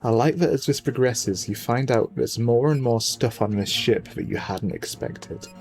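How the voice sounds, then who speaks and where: A man's voice: mid-range voice, normal speed, a little mellow. A man giving casual, rambling game commentary, musing aloud, often trailing off and reading bits of on-screen text. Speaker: let's player, gaming video host. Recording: noisy home microphone, game music behind. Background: video game music.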